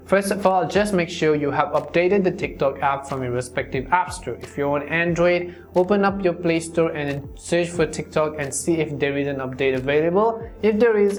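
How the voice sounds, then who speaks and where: A tutorial host giving a scripted, wordy, step-by-step how-to narration. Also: medium pitch. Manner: wordy step-by-step instructional delivery. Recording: music behind the voice. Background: background music.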